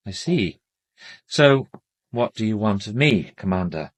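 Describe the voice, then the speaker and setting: Haughty society doctor, lowish voice, upper-class English accent, formal, measured, disdainful, radio drama. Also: male voice, big pitch swings. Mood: guarded. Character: haughty, urbane male private doctor being questioned.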